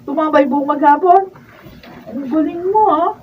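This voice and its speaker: A woman's voice: high-pitched, normal speed, natural voice. A Filipino woman playing an exasperated, nagging young wife and mother in a radio drama, recorded in a noisy room. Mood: exasperated scolding. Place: radio drama studio, filmed raw. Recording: muffled, noisy room, distant mic.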